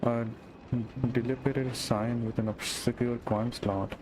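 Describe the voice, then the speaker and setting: A man's voice: mid-range voice, steady tone, unhurried, background noise. A man giving casual game playthrough commentary, reacting off the cuff and reading on-screen text aloud with some stumbles. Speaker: game streamer.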